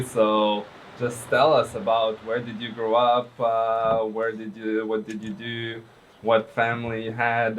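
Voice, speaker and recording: A man hosting a casual podcast, relaxed and loose as he asks open questions. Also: on the low side, a little hiss or hum, low-quality recording. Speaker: podcast host.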